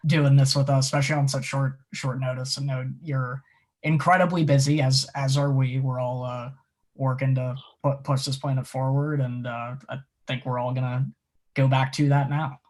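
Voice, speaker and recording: Even-toned, halting male podcast host. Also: mid-range voice. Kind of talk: casual sign-off to a guest. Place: online group call.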